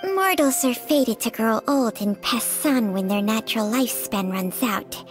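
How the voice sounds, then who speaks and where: A woman voicing an ancient, aloof female snake spirit in a game, speaking in a measured, half-whispered way. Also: fairly high pitch, over music, natural voice. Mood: detached. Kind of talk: game voice acting.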